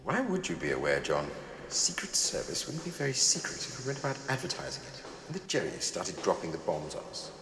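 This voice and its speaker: Deep-voiced, urbane British spymaster. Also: male voice, wildly swooping pitch, brisk, a crowd in the background, full character voice. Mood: wry, brisk. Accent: British English. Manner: jovial, clipped, old-fashioned upper-class phrasing. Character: urbane, secretive British intelligence man, brisk and wry. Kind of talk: audio drama acting.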